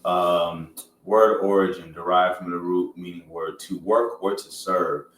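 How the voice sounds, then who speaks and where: A man with a deep American voice hosts a podcast in loose, informal language, sometimes reading out reference text. The room echoes, and he sits back from the mic with faint noise around him. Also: fairly even intonation, measured pace, fairly bright tone. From Georgia, United States. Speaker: podcast host. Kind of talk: casual podcast talk with some reading aloud from a reference. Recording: roomy echo, distant mic, faint noise.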